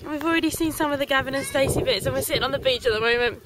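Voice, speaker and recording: A young woman vlogging a road trip from a car and a beach, excitedly pointing things out and repeating herself. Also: sits high, rapid-fire. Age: young adult. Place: car, then outdoor beach. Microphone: handheld camera. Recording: noisy handheld camera audio.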